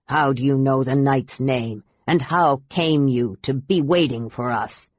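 A woman acts a wary half-elf man in a fantasy audiobook. The voice is low and a little rough, pressing for answers with suspicion. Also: unhurried, darkish tone, no echo, dull audio, exaggerated voice. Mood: suspicious. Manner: demanding, suspicious. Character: wary, demanding male half-elf adventurer.

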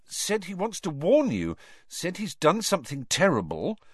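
An urgent teenage boy pleads insistently, breathless and pitched well above an adult man's voice, as a male narrator plays him. The reading is dry and close, as for a storybook. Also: huge pitch swings, moderate pace, husky, no echo, exaggerated voice. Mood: earnest.

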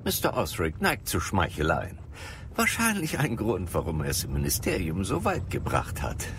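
An actor playing a learned wizard mentor, wry and concerned, with a formal, dry manner in a fantasy audio drama. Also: male voice, low voice, wildly swooping pitch, normal speed, background noise, heavily acted. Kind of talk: dramatised fantasy radio play. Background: music.

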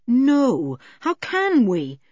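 A woman's voice: mid-range voice, big pitch swings, normal speed, mellow, breathy edge, no echo, dull audio. A woman voicing a cool, determined and responsible boy in an audiobook, earnest and decisive. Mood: troubled. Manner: earnest, decisive, plain-spoken. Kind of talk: audiobook character voice.

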